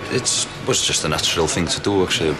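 A man's voice: low voice, lively intonation, fast talker, background noise. A young man from Wales, a native Welsh speaker and musician, talking thoughtfully and a little hesitantly in a pub. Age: young adult. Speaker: band musician.

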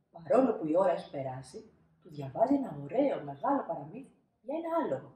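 A woman in her thirties narrating a children's storybook expressively, with dramatic pauses and emphasis. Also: fairly low pitch, warm tone. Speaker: storybook reader. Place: small indoor room, seated. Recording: roomy indoor echo.

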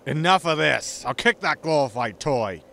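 A man's voice: on the high side, expressive, normal speed, creaky, noisy background. A man playing an exasperated, sarcastic spaceship captain in a science fiction comedy audio drama. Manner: dry, sarcastic.

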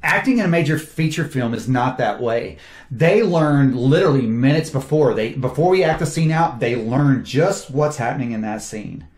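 A man, a film director, talks through his cast with eager, animated enthusiasm. His voice sits at a middle pitch and carries a roomy echo. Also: moderate pace. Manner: enthusiastic, animated storytelling with quoted asides. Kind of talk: casual interview talk.

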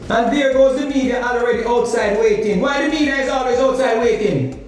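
A man's voice: very high voice, fairly quick, noisy background. A middle-aged Jamaican man giving insistent political commentary into a phone held at arm's length indoors, pressing his points with rhetorical questions and repetition. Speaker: political vlogger. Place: indoor kitchen or room. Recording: echoey room, far from the mic, faint music.

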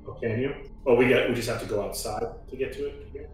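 A man's voice: medium pitch, fast. Guy playing a tabletop game, super echoey, far from mic, faint music. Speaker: player in a tabletop roleplaying game. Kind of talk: casual chat.

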